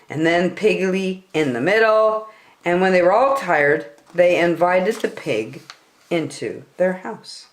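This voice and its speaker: A woman in her sixties reading a children's picture book aloud with emphatic, expressive storytelling. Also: on the low side. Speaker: story time reader. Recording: roomy home recording.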